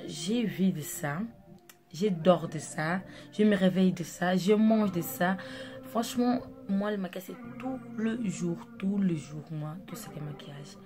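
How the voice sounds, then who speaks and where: Low-voiced chatty woman over music. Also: measured pace. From Angola. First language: Dutch and Portuguese. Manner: chatty, rambling, with many fillers. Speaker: makeup artist vlogger. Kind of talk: casual chat to camera. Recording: music playing under the voice.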